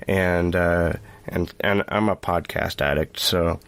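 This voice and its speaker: Low-voiced man hosting, halting delivery. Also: fairly even intonation, fairly bright tone, clear, resonant tone. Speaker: podcast host, interviewer. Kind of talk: casual interview chat.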